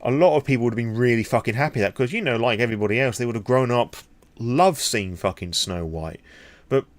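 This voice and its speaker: A man's voice: medium pitch, a little fast, dry recording. A British man rambling candidly through an impromptu vlog, opinionated and freely swearing. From Britain. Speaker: vlogger.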